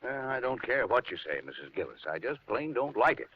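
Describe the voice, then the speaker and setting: An actor playing a worried, protective, good-natured lodger in a 1950s radio drama. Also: male voice, medium pitch, very animated, fairly quick, breathy edge. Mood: worried, insistent. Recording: dull, noisy 1950s radio recording.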